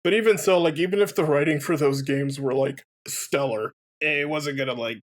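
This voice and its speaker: Man, slightly high voice, casual gaming commentary, rambling, opinionated, sweary. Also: moderate pace. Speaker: let's player commentator.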